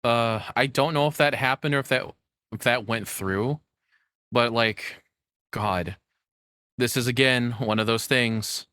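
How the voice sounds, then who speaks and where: A man's voice: mid-range voice, measured pace, pauses often, pushed and bright, clear, resonant tone, no echo. A man giving casual, off-the-cuff reaction commentary, rambling and joking with some exasperation and swearing. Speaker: reaction streamer.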